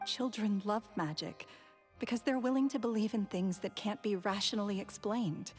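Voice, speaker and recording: A woman playing a gentle, sentimental woman who reminisces tenderly in a video game. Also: mid-range voice, normal speed, close to an everyday voice. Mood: wistful. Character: gentle, wistful, sentimental woman recalling her old love. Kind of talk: video game cutscene dialogue. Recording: faint music behind.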